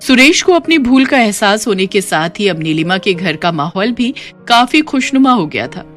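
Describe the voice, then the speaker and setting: A woman narrates a scripted moral tale. Her voice sits at a middle pitch, and faint music plays beneath the storytelling. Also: big pitch swings, moderate pace, fairly bright tone.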